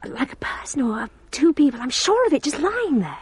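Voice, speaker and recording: High-pitched, frightened woman. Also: very animated, a little fast, darkish tone, no room sound. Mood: nervous, insistent. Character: frightened, jittery woman in a haunted house. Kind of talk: radio drama acting.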